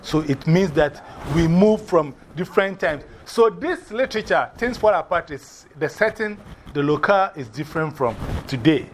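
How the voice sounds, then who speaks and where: Ghanaian male teacher, animated classroom delivery, high pitch, faint classroom ambience. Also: normal speed, a little hiss or hum. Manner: lively, repeats and spells out words, laughs often.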